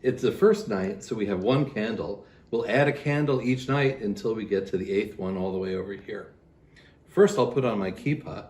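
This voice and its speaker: A man's voice: on the low side, normal speed, darkish tone. A man presenting a holiday ritual in simple, explanatory talk aimed at children. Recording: roomy, echoey indoor sound.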